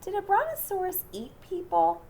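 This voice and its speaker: Woman voicing a nervous little boy, very animated. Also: very high voice, normal speed, a little hiss or hum, pitched above the performer's own voice, heavily acted. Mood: nervous, hesitant. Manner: hesitant, anxious questioning and exclaiming. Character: nervous, awestruck little boy who fears dinosaurs.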